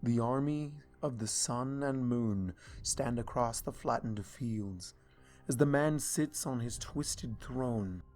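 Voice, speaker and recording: A man reading a dark fan story aloud in a slow, hushed, brooding way. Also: medium pitch. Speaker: fanfiction reader. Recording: soft music underneath.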